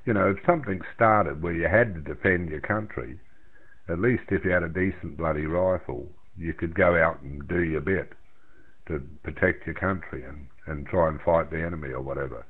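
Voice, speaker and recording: A thin, band-limited radio transmission carries the low voice of an older Australian man. He chats casually and plainly, rambling a little and circling back over his own words. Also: normal speed, slightly rough. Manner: rambling, plain-spoken, repeats himself. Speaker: amateur radio operator in a round-table chat. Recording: narrow, tinny radio transmission.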